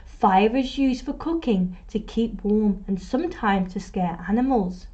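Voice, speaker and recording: A woman teacher reading a picture book aloud to children, expressively, with deliberate pauses and emphasis. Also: medium pitch, dark tone, dull audio. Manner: prompts young listeners with questions. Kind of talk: storytime read-aloud.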